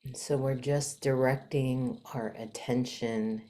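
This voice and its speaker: A woman leading a guided meditation in a soft, gentle manner, with long pauses and some breathiness. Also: extremely low pitch, fairly even intonation.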